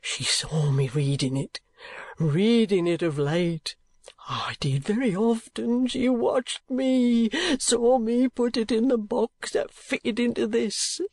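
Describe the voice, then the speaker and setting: A man's voice: high-pitched, lively intonation, unhurried, no room sound, voice pushed up. A man voicing a frantic old male miser who shrieks and whispers in despair over a theft. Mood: frantic, wailing. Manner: screeching, whispering in panic.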